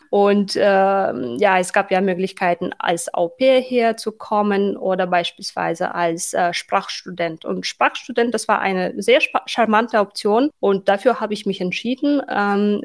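A woman in her thirties from Uzbekistan, speaking as she tells her story in a casual, explanatory interview style. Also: medium pitch. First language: not German. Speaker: interview guest.

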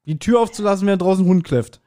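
A man's voice: sits high, very fast, warm tone. A man co-hosting a podcast in casual chat, with dry, self-deprecating banter. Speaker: podcast co-host.

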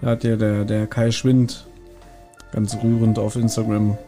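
A man's voice: fairly low pitch, level tone, unhurried, mellow, faint music behind. A man co-hosting a casual podcast chat, guessing quiz answers and thinking aloud with many hesitant fillers. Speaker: podcast co-host.

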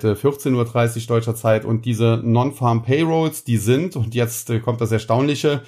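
A man's voice: medium pitch, a little mellow. Man with a cold hosting a podcast. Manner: frequent filler sounds, explanatory. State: has a cold. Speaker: podcast host. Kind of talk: casual market commentary.

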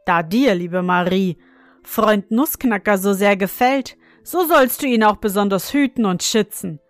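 A storybook father, firm and admonishing, measured in his judgment, as a woman reads him in her own slightly raised voice. Dry and close, with a slow reading pace. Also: sits high, no echo, soft background music. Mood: stern. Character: firm, fair-minded father.

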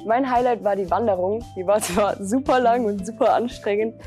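A woman's voice: mid-range voice, normal speed, mellow, soft background music. A young woman giving an upbeat short video statement. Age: young adult. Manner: upbeat, repeats "richtig cool".

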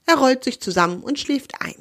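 A woman host of a children's podcast, hoarse with a cold, reading a story aloud in a friendly, expressive manner. Her voice rises and falls a lot, and it sounds close and dry on the mic. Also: sits high, normal speed, no echo.

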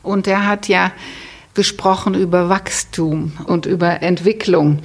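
A woman's voice: fairly low pitch, steady tone, measured pace, vocal fry, slightly rough. A woman hosting an interview podcast in a new studio, talking in a chatty, enthusiastic way. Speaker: podcast host.

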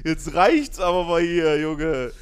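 A man's voice: on the high side, expressive, takes it slowly, clean tone. A man, co-hosting a podcast in joking, slangy casual chat. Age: 20s. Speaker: podcast co-host.

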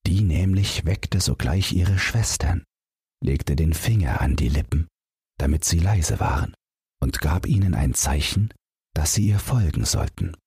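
A man's voice: extremely low pitch, darkish tone, breathy edge, dry recording, clean studio sound. A man narrating a scripted bedtime fairytale as an audiobook reader, telling it expressively.

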